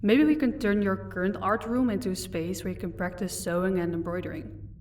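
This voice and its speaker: A woman's voice: mid-range voice, fairly even intonation, a little fast, darkish tone, recorded from a distance, natural voice. Composed, commanding female vampire, played by a woman for audio roleplay; haughty, recorded a little off-mic with faint noise. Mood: calm, affectionate. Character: commanding female vampire, protective wife, cold to captives.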